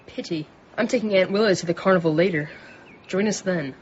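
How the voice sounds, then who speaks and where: A man's voice: high-pitched, brisk, lightly acted. An actor playing a sly, sinister man in a boy's body, in a radio drama. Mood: sly, polite. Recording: muffled radio broadcast with faint noise.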